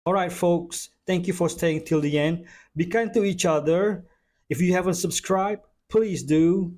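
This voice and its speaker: A man's voice: sits high, unhurried, darkish tone. A man who is not a native English speaker, hosting a reaction video with casual, friendly commentary. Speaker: channel host reacting to clips.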